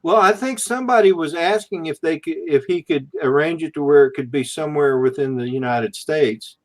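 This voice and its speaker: A man's voice: on the high side, brisk. A man in his sixties hosting a casual group chat, speaking in an easygoing conversational style. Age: 60s. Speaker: host of a group livestream.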